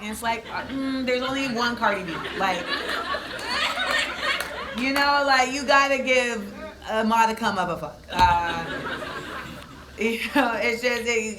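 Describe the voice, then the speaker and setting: A woman in her thirties with a deep voice, giving a comedic storytelling talk at a university event with lots of asides. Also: slight background noise, rough recording. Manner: animated, comedic, conversational with asides. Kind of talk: storytelling talk to a live audience.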